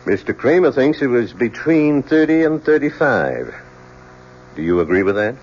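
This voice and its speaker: An actor in an old-time radio drama playing a stern, formal coroner presiding over an inquest in a deliberate, official manner. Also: male voice, medium pitch, very animated, a little mellow, lightly acted. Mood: probing. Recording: muffled old radio recording, faint noise.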